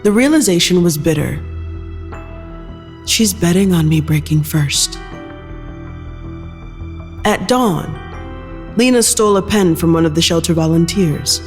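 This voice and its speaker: A narrator reading scripted audio fiction in a measured storytelling style. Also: mid-range voice, big-room sound, soft background music.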